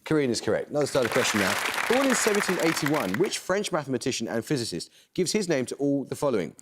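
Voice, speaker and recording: A man hosting a television quiz show, reading questions and introductions in a crisp, measured way. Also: mid-range voice, a loud crowd, very noisy. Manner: crisp, measured, lively intonation. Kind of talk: scripted quiz presenting. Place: television studio.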